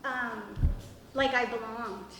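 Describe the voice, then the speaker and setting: A woman whose first language is Spanish, talking through her background in a relaxed roundtable discussion, thoughtful and halting with frequent fillers. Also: fairly high pitch, slight background noise. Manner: reflective, halting with many fillers. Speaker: discussion participant. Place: room with a table, group seated. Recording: echoey room with long reverb.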